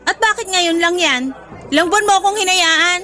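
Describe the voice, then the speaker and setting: A woman's voice: very high voice, normal speed, bright, forceful tone, soft background music, a faint crowd. An actress playing a hurt, feisty young woman in a Filipino radio drama, with an indignant, exasperated delivery and a Filipino accent.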